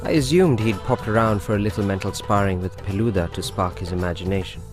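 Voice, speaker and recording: An actor playing a young detective's cousin and narrator, self-deprecating, in a radio drama. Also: male voice, fairly low pitch, fast, over music, lightly acted. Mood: wry narration.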